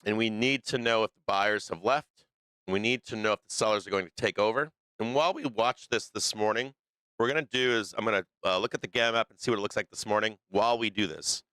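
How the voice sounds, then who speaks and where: A low-pitched man with a bright, crisp voice talks through stock charts on a live stream, loose and thinking aloud with plenty of fillers and restarts. He is losing his voice, and the sound is close and dry with no room in it. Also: fast, dry recording. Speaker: stock market stream host. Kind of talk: live trading commentary over charts.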